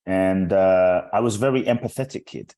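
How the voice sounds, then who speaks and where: A man with a very deep voice, born in Ghana and raised in South London, tells his life story as a podcast guest. He talks in a measured, reflective way, pausing and using small fillers. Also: warm tone. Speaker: guest, transformational speaker and author. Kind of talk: reflective storytelling interview answers. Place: remote recording from LA.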